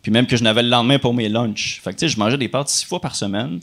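A man's voice: mid-range voice, fast talker, a bit bright. A man from Quebec with a Quebec French accent, chatting casually as a podcast guest, mixing in English slang. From Quebec, Canada. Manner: informal, peppers French with English slang and tags like "tu sais". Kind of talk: casual chat.

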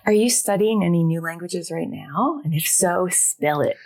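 A woman co-hosting a podcast, chatting in a lively, playful way. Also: on the low side, normal speed. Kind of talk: casual chat.

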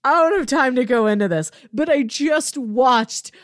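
A woman's voice: sits high, lively intonation, normal speed, no echo, clean studio sound. A woman co-hosting a casual pop culture podcast, chatty and emphatic, repeating phrases for effect. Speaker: podcast co-host.

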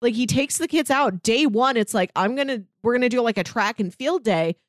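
A woman's voice: a little high, expressive, fairly quick, no echo, clean studio sound. A woman chatting casually as a podcast panelist, peppering her talk with "like". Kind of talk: casual animated-series discussion.

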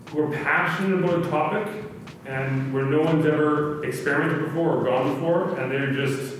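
A man's voice: fairly high pitch, a little hiss or hum. Man in a long, echoey hall. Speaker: interview guest, writer. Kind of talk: casual, reflective interview talk. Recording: echoey hall, distant, faint music.

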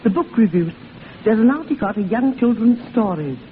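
A woman's voice: on the high side, lively intonation, a little fast, close to an everyday voice. An actress playing a chatty elderly grandmother in a 1940s radio drama. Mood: interested. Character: chatty elderly grandmother reading aloud the news. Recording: tinny, hissy old radio broadcast.

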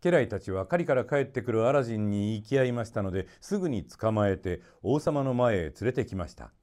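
A man narrating a children's story expressively, in a polite and measured storytelling manner. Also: lowish voice, animated, darkish tone.

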